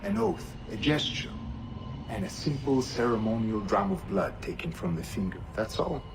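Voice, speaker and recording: An actor playing a calculating, persuasive period conspirator in a video game, precise and formal. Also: male voice, on the low side, sing-song, moderate pace. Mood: coolly persuasive. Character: calculating, persuasive male conspirator of the colonial era. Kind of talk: dramatic video game acting. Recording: clear ambient noise.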